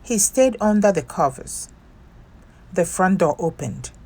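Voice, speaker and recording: A woman reading a children's picture book aloud as a storytime host, in an expressive narrating style. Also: on the low side, measured pace.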